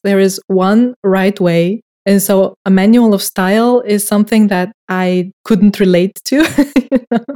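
A woman's voice: medium pitch, measured pace, mellow. A young woman with a Bulgarian accent, a non-native English speaker, chatting in a podcast interview with hesitant, thoughtful phrasing and small laughs. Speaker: director of records and research.

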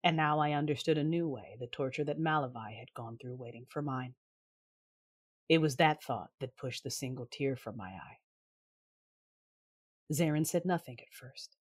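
A woman playing a proud, defiant Sith lord who narrates her own story in a science fiction audio drama, intense and deliberate. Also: very deep voice, slightly rough. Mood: grave, tender.

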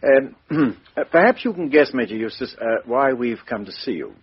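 A brisk Scotland Yard chief inspector. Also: male voice, medium pitch, animated, husky. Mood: questioning. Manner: brisk, breezy, lots of "uh". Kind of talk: 1950s radio drama acting. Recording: dull old radio broadcast.

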